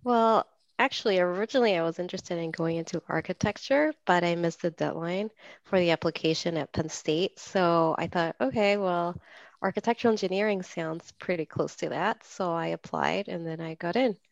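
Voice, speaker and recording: Woman from Peru with a gravelly voice. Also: on the low side, normal speed, dry recording, lo-fi sound. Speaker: lighting designer guest. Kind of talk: podcast interview.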